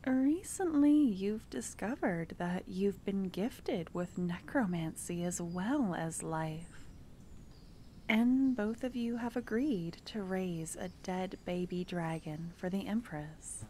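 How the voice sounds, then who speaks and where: A woman giving calm, soft scripted narration to set the scene for an ASMR roleplay. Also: medium pitch, takes it slowly, slight background noise. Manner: calm, soft, partly whispered.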